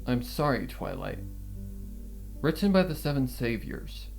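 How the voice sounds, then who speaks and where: A man's voice: mid-range voice, big pitch swings, unhurried, gravelly, darkish tone. Man reading a story aloud over music. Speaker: story reader. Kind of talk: scripted first-person fan fiction narration.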